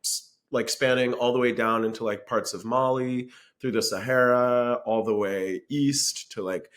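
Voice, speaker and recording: A young man from Florida, a podcast guest, talking in a dry, deadpan way with lots of ums and likes. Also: medium pitch, measured pace. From Florida, USA. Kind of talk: casual comedic chat.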